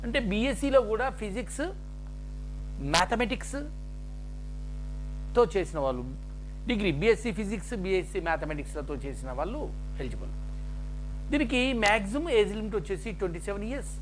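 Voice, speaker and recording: Male presenter, very animated intonation, halting point-by-point explainer delivery, noisy recording with mains hum. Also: high voice. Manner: halting, list-like phrasing mixed with English terms. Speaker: jobs information presenter. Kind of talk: explaining a job notification point by point.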